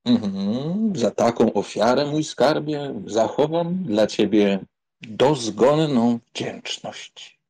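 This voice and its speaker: A man's voice: medium pitch, animated, slow pace, warm tone, breathy edge, full character voice, rough recording. A man playing a cocky, sardonic young count in an audiobook drama, with an ironic, theatrical delivery. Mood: mock solemn. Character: cocky, sardonic young count and duelist, joking through pain.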